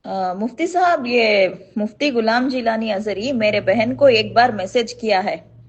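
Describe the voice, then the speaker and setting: A woman's voice: fairly high pitch, expressive, a little slow. A woman on a recorded phone call, speaking deferentially as she questions and acknowledges the other person. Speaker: caller asking questions.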